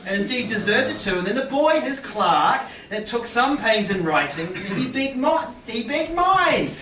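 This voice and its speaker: A man's voice: extremely high pitch, sing-song, unhurried, pushed and bright, heavily acted. A young man playing a flustered, defensive husband who stammers and blusters comically in a staged Shakespeare reading. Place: small indoor theatre stage. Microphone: far audience camera. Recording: roomy, noisy distant audience camera.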